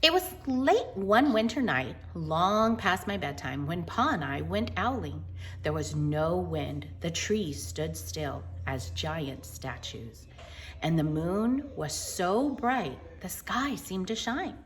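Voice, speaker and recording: An American woman reading a storybook aloud to children in an informal, chatty and expressive way. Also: fairly low pitch, slow pace, a little hiss or hum, rough recording. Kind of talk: storybook read-aloud with casual asides to children.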